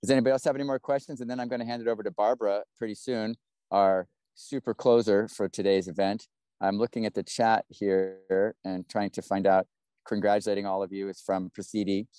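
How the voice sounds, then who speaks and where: A man's voice: lowish voice, level tone, a little fast, warm tone, clear, resonant tone, dry recording. A man hosting an online award conference, talking in a rambling, encouraging way with many restarts over a video call. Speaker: webinar host.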